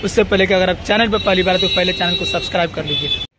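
Young guy vlogging off his phone on a busy street, high voice, traffic all around. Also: fast. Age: young adult. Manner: chatty, pointing things out while walking. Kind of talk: casual vlog greeting to camera. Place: outdoors on a city street. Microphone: phone held at arm's length. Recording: street traffic noise behind.

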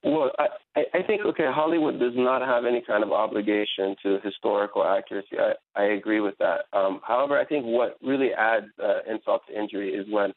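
Journalist guy on a radio panel, lowish voice, earnest and fluent, tinny phone sound. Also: steady tone, fairly quick, hardly any pauses, no room sound. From Iran, raised in America. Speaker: journalist and blogger, panel guest.

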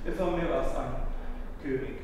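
Man doing sad young prince, hushed and broken, super echoey room. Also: medium pitch, moderate pace, distant mic, heavy background noise, close to an everyday voice. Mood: grieving. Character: grieving young prince mourning his father. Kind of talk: amateur stage acting.

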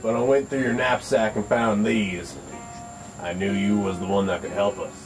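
An actor playing a menacing, commanding backwoods ghoul in a western horror film, deliberate and threatening. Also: male voice, lowish voice, little pitch movement, music in the background, close to an everyday voice, rough recording.

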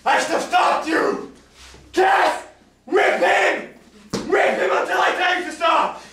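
Man playing slow, high-pitched cruel master. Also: bright, forceful tone, some chatter behind, heavily acted. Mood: furious. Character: cruel, furious master ordering a whipping. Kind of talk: amateur stage acting. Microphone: distant camera microphone. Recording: echoey theatre, distant mic, faint noise.

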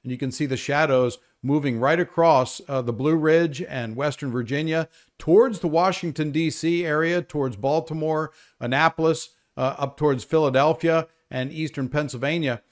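Man, slightly high voice, weather presenter, live unscripted briefing, conversational, explanatory. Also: normal speed.

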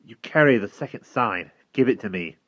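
A man's voice: fairly low pitch, expressive, normal speed, fairly bright tone, dry recording, highs cut off, voice pushed up. A man voicing an anxious, earnest young boy in a storybook, with a pleading, honest manner. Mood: firm.

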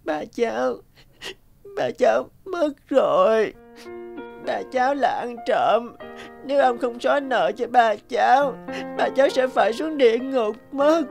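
A woman's voice: on the high side, animated, a little slow, gravelly, darkish tone. A woman voicing an earnest, worried little boy in a cartoon, with music behind the voice. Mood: sad. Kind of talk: cartoon voice acting.

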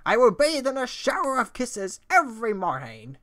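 A woman voicing a pompous, excitable male skeleton from a video game, bombastic and exasperated. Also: on the high side, normal speed. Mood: boastful, dreamy. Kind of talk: video game character impression.

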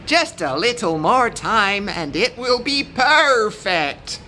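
Patient, sensible goat played by a woman, bright and measured, for a storybook. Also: on the high side, sing-song, a little hiss or hum, voice pushed up, heavily acted. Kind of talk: children's storybook character voice.

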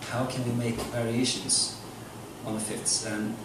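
A man giving an informal, halting lecture to an audience as a musician. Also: medium pitch, level tone. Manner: halting, explanatory. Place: club room with seated audience, indoors. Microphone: stage mic through speakers, recorded from afar. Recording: echoey, noisy hall recording from far off.

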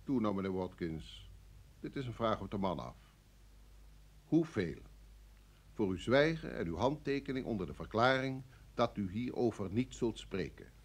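An actor in a radio play playing a cool, coercive security service official, formal and smoothly insistent. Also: male voice, lowish voice, sing-song, moderate pace, long pauses. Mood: pressing.

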